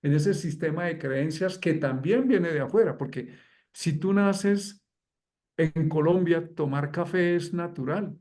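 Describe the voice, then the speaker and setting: Higher-pitched man explaining fluently. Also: unhurried, a little mellow. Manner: fluent, lists examples in flowing phrases. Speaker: guest astrologer. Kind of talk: conversational explanation.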